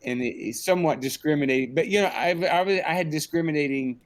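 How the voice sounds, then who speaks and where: A man's voice: on the high side, quick pace. A middle-aged man giving a reflective, casual interview, candid and self-deprecating about himself. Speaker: interview guest, film director. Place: indoors, on a group video call.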